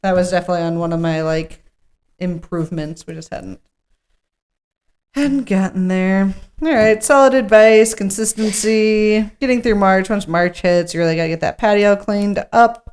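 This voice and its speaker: A woman's voice: medium pitch, normal speed, darkish tone. Casting: woman podcast co-host with a mid-range voice, casual and blunt, happy to swear while talking shop. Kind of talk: casual chat at a podcast script read-through.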